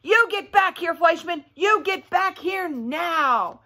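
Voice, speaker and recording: A woman's voice: extremely high pitch, expressive, slow, pushed and bright, pitched above the performer's own voice, full character voice. A woman voicing a bossy, fierce young girl from a children's storybook, demanding and indignant. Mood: furious yelling.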